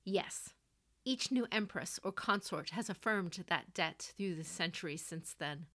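A woman's voice: fairly low pitch, a little slow, fairly bright tone. A woman plays an older samurai matriarch and general in an audio drama story. She is stern and severe, speaking in blunt, clipped statements with firm command and formal gravity. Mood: firm, resolute.

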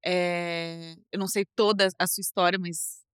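A woman hosting an interview podcast, reacting often with short, warm replies and laughs. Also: on the low side, level tone, measured pace, clear, resonant tone, no echo, studio quality. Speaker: podcast host. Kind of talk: casual interview chat.

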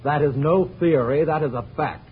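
A man's voice: on the high side, normal speed, husky. A man playing a firm academy member arguing emphatically in an old-time radio drama. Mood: insistent. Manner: emphatic debate. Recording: dull old radio recording, faint noise.

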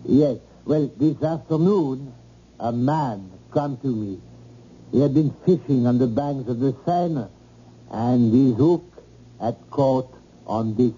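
An actor playing a polite, hesitant French cooking teacher with a French accent and formal manner in an old-time radio drama. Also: male voice, mid-range voice, takes it slowly, warm tone, slightly rough. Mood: polite, embarrassed. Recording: muffled old radio recording.

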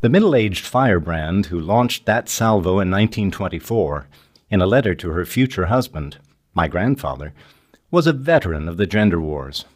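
An older man hosting a podcast, giving scripted documentary narration in a measured, emphatic storytelling manner. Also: low voice, very animated. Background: music.

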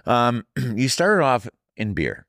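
A man's voice: medium pitch, big pitch swings, slow, fairly bright tone, dry recording, clean studio sound. A man hosting an interview podcast in a bar, teasing and joking with his guest in casual chat. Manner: teasing and playful, jokes with the guest. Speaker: podcast host.

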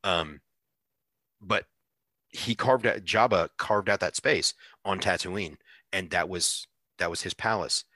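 Deep-voiced man with a bright, forceful edge. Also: slow pace, pauses often, no room sound, clean studio sound. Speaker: podcast co-host. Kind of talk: casual fan discussion of a TV series.

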